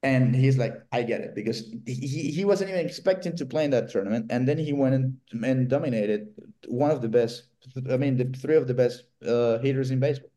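A Venezuelan-accented man, a Spanish speaker, chatting on a podcast in a mellow, warm voice. He tells stories with animation and acts out the voices of the people in them. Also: mid-range voice, fairly quick, few pauses. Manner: animated storyteller, acts out quotes. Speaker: guest, baseball writer. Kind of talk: casual podcast chat.

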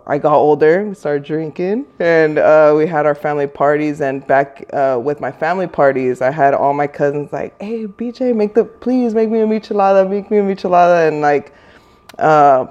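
A woman in her thirties from Norwalk, California, chatting as a guest, full of fillers and quick to laugh. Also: low voice, a little mellow, muffled recording. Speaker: guest, small business owner. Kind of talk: casual interview.

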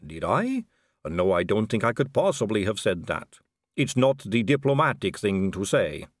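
A man voicing an aristocratic lady vampire in an audiobook, smooth, measured, knowing and condescending. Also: mid-range voice, expressive, no room sound, studio quality, pitched above the performer's own voice, close to an everyday voice. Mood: smooth, diplomatic. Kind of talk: audiobook character dialogue.

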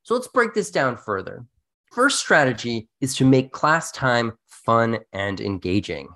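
Animated podcast host with wide-swinging pitch. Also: measured pace. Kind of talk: scripted, animated podcast presenting.

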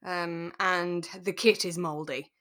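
A woman's voice: on the low side, steady tone, takes it slowly, a bit bright. A woman co-hosting a podcast, chatting casually and sympathetically about a radio drama in a reflective way. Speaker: podcast co-host.

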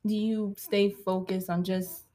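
A woman co-hosting a faith podcast who asks the guest brief, measured questions. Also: medium pitch, little pitch movement, warm tone. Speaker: podcast co-host.

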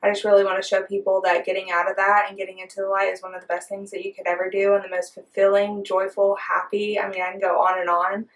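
A woman's voice: mid-range voice, level tone, fast, few pauses. A young woman in her twenties shares her faith in a casual podcast chat. She speaks in a heartfelt, chatty manner. Her voice comes from some distance from the microphone. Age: 20s. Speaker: podcast co-host.

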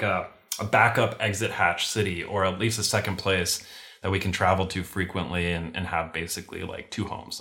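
A man who grew up in Venezuela, a podcast guest talking casually over a video call in a relaxed, rambling way. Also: deep voice. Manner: relaxed, rambling with fillers and restarts.

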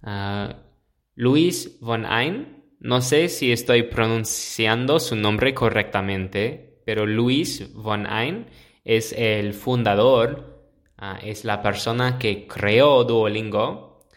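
Slow, hesitant young man teaching. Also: fairly low pitch. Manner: slow, hesitant, pauses to search for words. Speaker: podcast host. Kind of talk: solo podcast explaining to learners.

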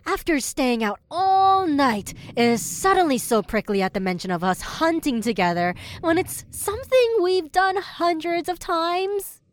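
A woman's voice: extremely high pitch, animated, measured pace. A woman playing a suspicious, needling young mermaid in an audio drama, pointed and sarcastic. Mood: challenging. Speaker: voice actor.

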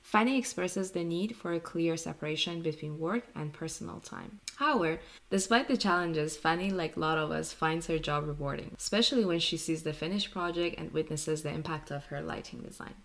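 A woman co-hosting a podcast, reading a prepared script smoothly. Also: sits low, fairly quick, hardly any pauses. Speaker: podcast co-host. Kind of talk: scripted narration.

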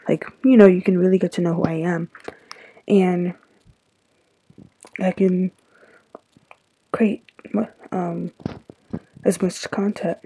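A teenage girl hosting her own podcast, sounding stuffy and tired, rambling with lots of fillers in a soft, confiding way. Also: mid-range voice, slightly rough, no echo, poor audio. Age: teens. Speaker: podcast host. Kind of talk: casual solo podcast talk.

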